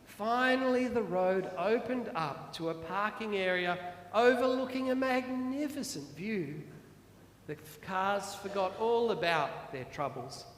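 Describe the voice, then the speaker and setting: A man reading a children's parable aloud to listeners in an animated, dramatic storytelling manner. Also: sits high, measured pace, a little hiss or hum. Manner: dramatic, expressive character voices. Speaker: author reading his own children's parable. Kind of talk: animated storytelling to a church audience. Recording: echoey hall, long reverb.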